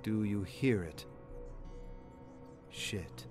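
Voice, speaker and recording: An actor playing a grim radio broadcaster in a game's audio drama, reporting horrors in a grave, halting and foreboding way. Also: male voice, lowish voice, darkish tone, soft background music. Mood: fearful.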